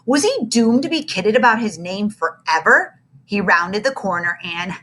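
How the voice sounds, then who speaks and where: A woman reads a children's chapter book aloud, telling the story expressively. Her pitch moves a lot, bouncing up and down through the sentences. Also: lively intonation, moderate pace, pushed and bright, slight background noise. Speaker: narrator.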